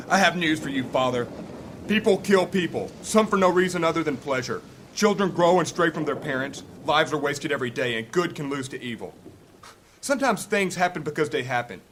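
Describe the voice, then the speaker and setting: An actor playing a bitter, hot-tempered warrior in a fantasy audio drama, scornful and argumentative. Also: male voice, a little high, a little fast, fairly bright tone, slight background noise, lightly acted. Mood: angry, bitter.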